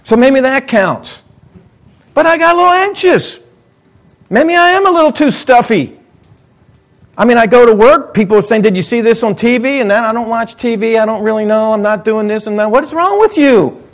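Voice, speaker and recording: A man with a high-pitched voice delivers a sermon to his congregation. He is middle-aged and talks casually, rising into eager emphasis and then dropping into low, mumbled asides. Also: normal speed. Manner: conversational, swinging between excited highs and muttered asides. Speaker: pastor.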